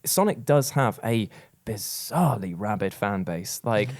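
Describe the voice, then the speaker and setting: A man's voice: fairly low pitch, lively intonation, measured pace, darkish tone. Man chatting casually as podcast co-host. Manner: informal, rhetorical questions, emphatic repetition. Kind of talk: casual opinionated panel discussion.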